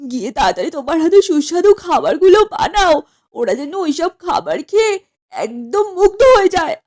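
A woman's voice: extremely high pitch, darkish tone. A woman voicing an eager, fussy husband and small businessman in a comedy cartoon, speaking emphatically. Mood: hurried, bossy. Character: eager, easygoing husband and lungi-selling businessman. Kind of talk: exaggerated comedy cartoon acting.

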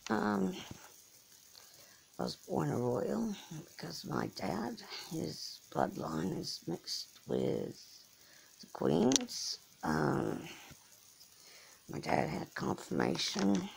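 A middle-aged woman from Norfolk, England, with an English accent tinged with American Southern, rambling slowly and haltingly while lying in bed and talking into a phone held close. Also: fairly low pitch, very animated, vocal fry, noisy background. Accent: English with some American Southern. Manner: slow, halting, many restarts and fillers. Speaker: vlogger. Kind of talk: rambling personal monologue. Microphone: phone held close above the face.

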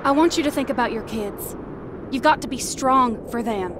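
A woman's voice: on the high side, moderate pace, background noise, lightly acted. A woman playing a fierce, grieving young woman in a comic dub drama, impassioned and scolding. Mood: earnest, pleading.

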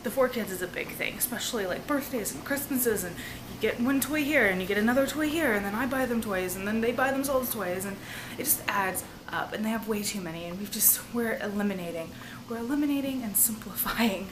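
Chatty, loud woman vlogger. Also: medium pitch, lively intonation, fairly quick, noisy background. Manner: chatty, loud, laughs often. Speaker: family vlogger. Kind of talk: casual chat to camera. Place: home kitchen and living room at night. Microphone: handheld camera at arm's length.

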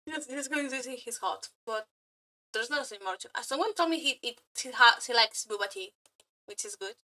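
A woman streamer giving casual live reactions, excitable, scattered and exclamatory, with some swearing. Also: on the high side, moderate pace. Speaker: streamer reacting to game trailers. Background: background music.

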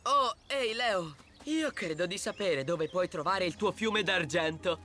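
A woman voicing a playful, practical young male tiger cub in an animated cartoon. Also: fairly low pitch, measured pace. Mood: teasing.

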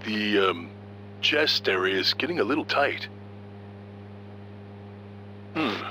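Big goofy muscle man for a game, slow talker, forceful voice. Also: lowish voice, lively intonation, a little hiss or hum, heavily acted. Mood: self-absorbed, musing. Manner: hesitant, musing to himself, theatrical. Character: earnest, muscle-proud big goofy strongman. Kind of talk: video game voice acting.

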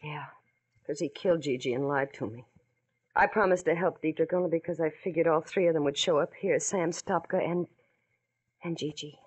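An actress with an American accent playing a tough but lovelorn dame, wistful and weary, in a 1940s radio noir drama. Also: female voice, sits low, moderate pace, breathy edge. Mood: regretful. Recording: old radio drama recording.

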